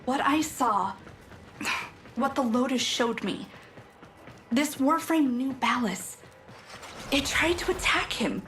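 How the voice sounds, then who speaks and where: Game role: a resolute young fighter, high voice, roomy echo, commanding. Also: female voice, expressive, takes it slowly, pauses often, a bit bright, exaggerated voice. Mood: troubled, resolute. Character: determined, commanding young space warrior. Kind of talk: video game character dialogue. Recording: roomy echo, faint music behind.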